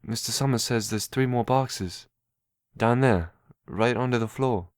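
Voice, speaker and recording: A man playing a timid, earnest, slow-minded young runaway who speaks in a simple, halting, pleading way. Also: mid-range voice, clear, resonant tone, lightly acted.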